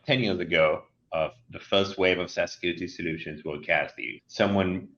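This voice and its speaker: Israeli-accented male guest, deep, forceful and explanatory. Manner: explanatory, with frequent restarts. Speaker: guest, company CEO. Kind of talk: interview answers. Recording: dull lo-fi sound.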